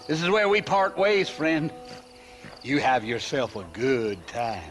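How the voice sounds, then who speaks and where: A folksy American cowboy guide from a western game. He drawls slowly and easily in a lively, high voice, over loud music. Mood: laid-back, wry. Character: folksy, easygoing western trail guide, adult man. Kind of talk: video game western acting.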